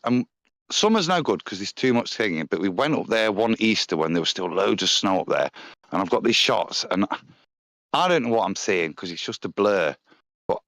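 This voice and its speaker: Man, Nottingham accent, casual storyteller, low voice, dry close sound. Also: fairly bright tone, no room sound. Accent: Nottingham English. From England. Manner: chatty storyteller with broad pitch swings and impressions. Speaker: panel guest. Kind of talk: casual chat on a live stream.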